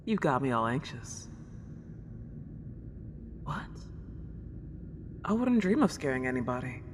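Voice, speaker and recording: A woman voicing a polite, excitable century-old ghost girl in an ASMR roleplay, with old-fashioned genteel wording and gushing wonder. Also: a bass voice, slow pace, heavily acted. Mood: anxious, coy. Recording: long reverb, clear background noise.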